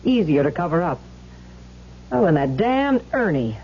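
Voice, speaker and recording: Casting: exclamatory suburban busybody, expressive and blunt, dull-sounding old radio recording. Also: female voice, fairly low pitch, a little slow. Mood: gossipy, scornful. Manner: chatty, exclamatory, scornful. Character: gossipy, blunt suburban housewife neighbor. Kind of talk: old-time radio drama acting.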